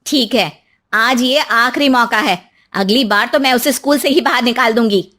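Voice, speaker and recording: A woman's voice: fairly high pitch, normal speed, a bit bright, close to an everyday voice. A woman voicing a stern, admonishing school principal in a cartoon story.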